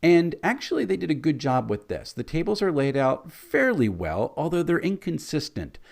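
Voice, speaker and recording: A man with a scratchy, hoarse voice, casually reviewing a tabletop game book. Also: mid-range voice, a little mellow. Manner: conversational, mildly critical.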